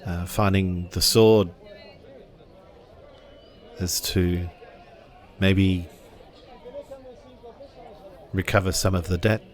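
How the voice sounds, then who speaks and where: A man's voice: sits low, fairly even intonation, extremely slow, pauses often, a faint crowd. A man roleplaying a thoughtful, observant traveller in a samurai tabletop game, musing aloud in a hesitant, improvised way. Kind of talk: improvised in-character dialogue.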